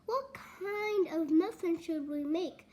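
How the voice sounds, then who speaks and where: An eager storybook grandmother who likes to take charge, voiced by a young girl reading aloud. The voice is very high, and the delivery is slow and halting. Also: a little mellow. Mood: eager suggesting. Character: eager, bossy grandmother baking on a farm.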